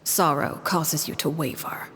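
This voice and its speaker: An actress playing a cold, commanding woman duelist who leads her house, speaking in a measured, formal, menacing way for a video game. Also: low voice, fairly even intonation, fairly bright tone. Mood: cold, composed. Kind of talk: English video game voice acting.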